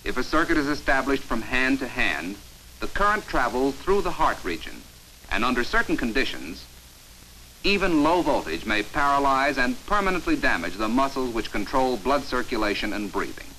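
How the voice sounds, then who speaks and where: American guy narrating an old 50s training film, crisp announcer style, authoritative and measured, bright voice, hissy film audio. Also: mid-range voice, very animated, rough recording. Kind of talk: scripted instructional narration, 1950s training film. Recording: hissy 1950s film soundtrack.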